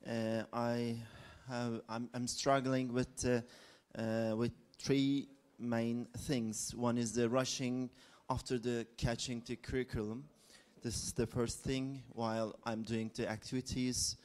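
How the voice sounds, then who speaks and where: A high school biology teacher asking a question from the audience, hesitant and searching for words. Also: male voice, slow pace, clean tone. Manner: hesitant, flat delivery. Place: conference hall.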